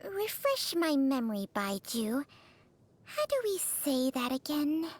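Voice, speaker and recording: Teasing snake spirit. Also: female voice, high voice, sing-song, slow, full character voice. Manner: dry, teasing, impatient. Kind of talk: game character voice lines. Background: faint music.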